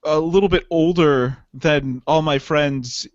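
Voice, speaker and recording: A man's voice: a little high, takes it slowly, no room sound, muffled recording. A man in his late twenties, co-hosting a podcast in rambling, laughing, profane casual chat. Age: 20s. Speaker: podcast co-host.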